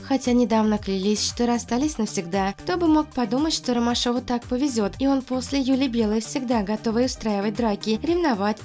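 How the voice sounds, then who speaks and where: A woman's voice: fairly high pitch, darkish tone. A woman with a cold narrating scripted celebrity gossip news in a chatty, slightly sarcastic way, over background music. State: has a cold. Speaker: celebrity news channel host.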